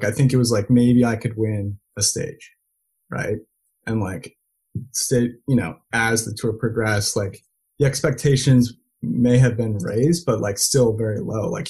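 A man's voice: on the low side, darkish tone, husky. A man telling stories in a casual interview, full of "like" and restarts. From United States and Belgium. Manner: lots of filler likes, restarts. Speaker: interview guest. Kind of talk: casual conversational storytelling.

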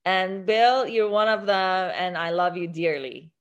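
A woman's voice: lowish voice, steady tone, a little slow, smooth, highs cut off. A young woman from Iran, an interview guest, speaking earnestly with many restarts. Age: young adult. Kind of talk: interview conversation.

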